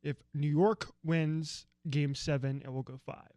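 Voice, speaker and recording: A man's voice: fairly high pitch, steady tone, unhurried, warm tone, dry recording, clean studio sound. An American man co-hosting a casual sports podcast, opinionated and rambling, grumbling dryly about teams he dislikes. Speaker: co-host.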